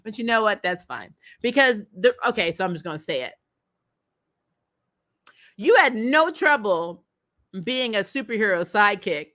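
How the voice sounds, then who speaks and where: Bright, forceful woman ranting casually about TV. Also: mid-range voice, normal speed, long pauses. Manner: animated, exasperated, laughs often, sweary; acts out imagined dialogue. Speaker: host of a TV recap show. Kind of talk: casual opinionated review commentary.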